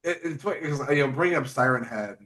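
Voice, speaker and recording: A man with an average-pitched voice interviews a guest in a casual podcast style. He builds his questions haltingly and loosely. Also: animated, fairly bright tone.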